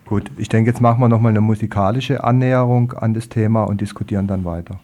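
A man's voice: on the low side, fairly even intonation, fast, mellow. A man hosting a radio discussion, searching tentatively for his words. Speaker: radio host.

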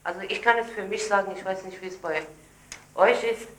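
A woman's voice: medium pitch, steady tone, fairly quick, noisy background. Woman giving a political speech, roomy echo. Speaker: speaker at a public discussion. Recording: roomy, echoing event recording.

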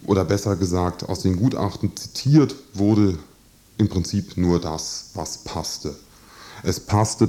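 A man giving spontaneous spoken commentary on a court case, halting and deliberate as he searches for exact wording. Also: low-pitched, warm tone.

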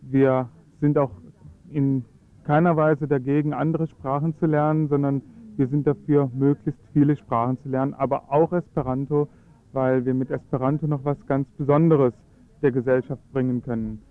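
A man's voice: medium pitch, fairly even intonation, normal speed, narrow, tinny audio. A man speaking German as his first language, earnestly explaining his views in a radio interview with frequent hesitations. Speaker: Esperanto advocate.

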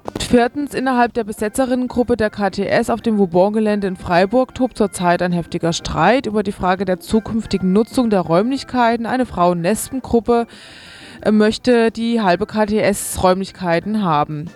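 Female radio presenter, medium pitch, scripted announcements, music playing behind. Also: normal speed, darkish tone. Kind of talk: scripted event listings and programme announcements.

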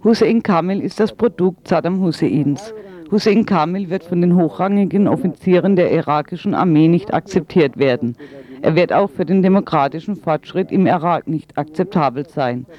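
A man's voice: on the high side, normal speed, muffled recording. A man working as an interpreter, giving a voice-over translation of an interview.

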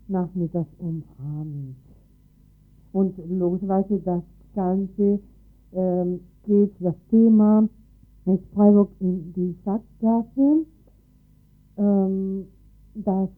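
A woman co-hosting a radio news show, making unscripted announcements in a halting way, searching for words. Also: medium pitch. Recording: lo-fi phone-like radio, music behind.

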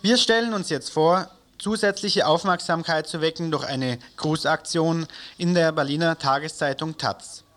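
A man's voice: a little high, moderate pace, a bit bright. A man presenting community radio news and announcements from a studio, reading from a script with the odd stumble.

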